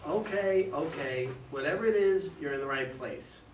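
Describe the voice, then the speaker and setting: Reassuring male professional played by a man, stage reading, fairly high voice, distant mic. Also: animated, moderate pace, close to an everyday voice. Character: professional male consultant with air of authority, reassuring. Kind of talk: amateur staged play reading. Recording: echoey room, distant mic, faint noise.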